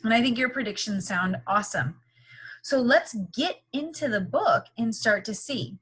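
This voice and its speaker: A woman's voice: on the high side, animated, moderate pace, fairly bright tone, breathy edge. A woman teacher leading a read-aloud lesson for young children, with instructive, question-filled, encouraging phrasing.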